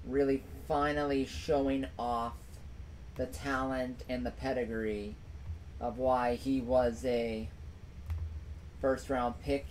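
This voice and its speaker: Slow, emphatic young man recapping football. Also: mid-range voice, a little mellow, slight background noise. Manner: emphatic, repeats phrases for effect. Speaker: sports streamer host. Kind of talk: solo sports recap stream. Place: small room at home with a TV and jerseys on the wall.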